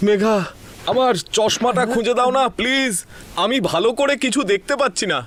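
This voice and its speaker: A man's voice: extremely high pitch, a little fast, a bit bright, a little hiss or hum. A man voicing a flustered, pleading cartoon father who grumbles and exclaims in a dubbed family comedy. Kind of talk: Bengali cartoon dubbing.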